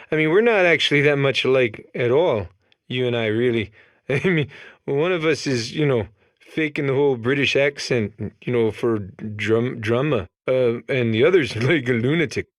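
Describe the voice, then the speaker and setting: A man's voice: mid-range voice, fairly bright tone, dry recording, studio quality. A man voicing a nervous, meek robot with a Canadian accent, apologetic and hesitant as he bargains. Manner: sheepish, hesitant, pleading. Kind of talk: comedic video game character acting.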